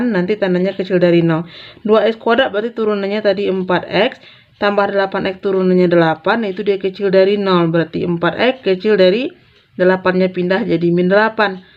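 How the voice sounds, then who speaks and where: A woman explains math step by step in an informal, big-sisterly way, repeating key phrases as she writes. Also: medium pitch. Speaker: math tutor. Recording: dull, lo-fi sound.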